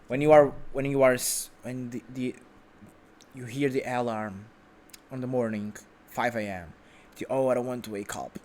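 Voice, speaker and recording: A man's voice: mid-range voice, fairly even intonation, moderate pace, darkish tone. A man who is a non-native English speaker, delivering an informal, unscripted motivational monologue as a host, emphatic and repetitive. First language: not English.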